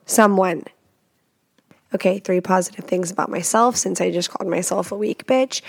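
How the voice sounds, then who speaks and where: A woman's voice: a little high, dry recording, studio quality. A woman hosting a podcast alone, talking bluntly and profanely, stuffy and nasal from a cold, recorded in a small closet full of clothes. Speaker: podcast host. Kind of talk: casual solo podcast rant.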